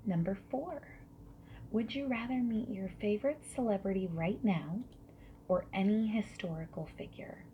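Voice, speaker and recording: A woman doing a soft-spoken ASMR roleplay as a friendly survey interviewer, slipping between whispers and quiet speech. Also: mid-range voice. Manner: friendly, gentle, unhurried questioning with soft acknowledgements. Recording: lo-fi muffled sound with background noise.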